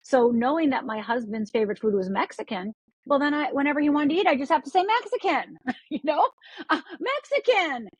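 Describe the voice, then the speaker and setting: A woman's voice: sits high, expressive, brisk, dry recording, low-quality recording. A woman from Upper Michigan, a podcast guest, talking with energy and often repeating words as she restarts sentences. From Upper Michigan, USA. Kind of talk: podcast interview.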